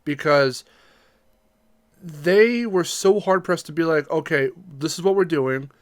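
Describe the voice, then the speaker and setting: A man's voice: a little high, a little slow, no echo, clean studio sound. A man co-hosting a podcast, talking casually in an emphatic, opinionated way. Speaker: podcast co-host. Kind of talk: casual discussion podcast chat.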